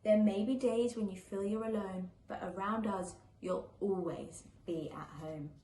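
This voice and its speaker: A woman voicing a wise, reassuring older sister in a children's storybook, giving gentle, measured rhyming advice. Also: medium pitch, pitched below the performer's own voice, close to an everyday voice. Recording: echoey room with faint background noise.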